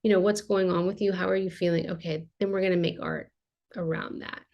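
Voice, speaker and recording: A woman talks about her work as an art therapist in a relaxed interview, chatty and full of casual fillers. Her voice sits low with a gravelly, creaky edge. Her delivery is loose and conversational. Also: fairly quick, highs cut off. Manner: chatty, explains with many "like" fillers.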